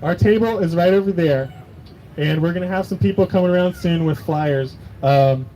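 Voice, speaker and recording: A man rallies an outdoor crowd with urgency, his voice set high for a man. Faint street noise sits behind his speech. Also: moderate pace, dark tone, poor audio. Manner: rousing, urgent call to action. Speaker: activist organizer. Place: outdoor rally.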